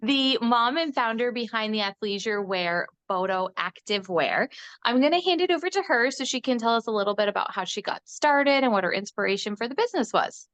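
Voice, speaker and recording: Smooth-voiced woman podcast host. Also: fairly high pitch, lively intonation, brisk, fairly bright tone, no room sound. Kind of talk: casual interview hosting.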